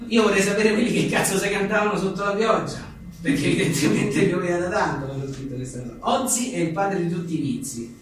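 A man's voice: high voice, fast talker. A man, talking colloquially and irreverently as he presents his writing live. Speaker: author presenting his book. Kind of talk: reading short jokes and chatting informally at a live book presentation. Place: live event venue with an audience. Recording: echoey room, distant mic, faint noise.

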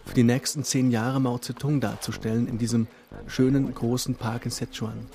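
A man's voice: medium pitch, darkish tone. A man telling a radio play story in the second person, in flowing descriptive narration. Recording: faint music under the voice. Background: faint instrumental music.

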